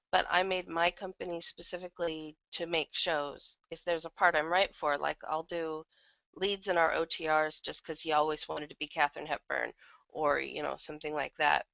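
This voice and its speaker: A woman's voice: on the low side, vocal fry, a bit bright, no echo, muffled recording. A woman chatting casually as an interview guest, talking as an audio drama writer and producer.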